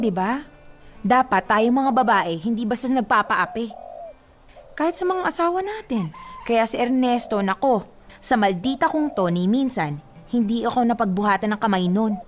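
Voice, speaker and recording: A woman acts out a tough, nosy neighborhood wife in a radio drama. She talks in a chatty, emphatic way at a middle pitch, outspoken and protective of her friend. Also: moderate pace, pauses often, soft background music, close to an everyday voice. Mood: feisty. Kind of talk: Filipino radio drama acting.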